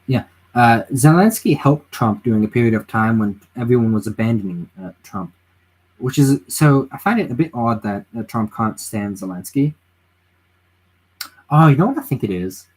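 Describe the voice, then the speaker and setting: A man's voice: mid-range voice, lively intonation, moderate pace, a little mellow, slightly rough, low-quality recording. A tired man on a late-night livestream at home, giving his opinions in a rambling way and reading chat messages aloud. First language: English. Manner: rambling, reads chat aloud, frequent restarts and fillers. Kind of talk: casual political livestream chat.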